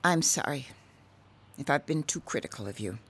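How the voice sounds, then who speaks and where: A woman's voice: extremely low pitch, expressive, normal speed, fairly bright tone, breathy edge, heavily acted. A woman acting a resentful, lonely middle-aged wife and mother in a radio drama. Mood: hurt.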